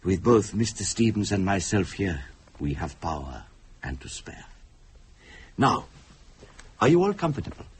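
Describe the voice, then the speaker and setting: A man acting as a solemn, commanding séance medium in a radio horror drama, with a formal, hypnotic and deliberate delivery. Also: sits low, big pitch swings, husky, heavily acted. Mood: formal, composed.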